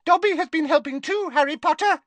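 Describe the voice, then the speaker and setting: A man voicing an excitable, loyal male house elf with a high, squeaky voice. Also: fairly quick, no echo. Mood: eager. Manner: squeaky, breathless, speaks of himself in the third person.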